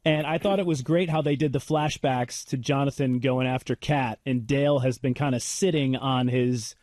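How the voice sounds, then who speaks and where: A man's voice: mid-range voice, fairly even intonation, normal speed, a little mellow, no room sound, studio quality. An American man hosting a casual TV recap chat, playfully teasing and provoking the other panelists. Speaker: host.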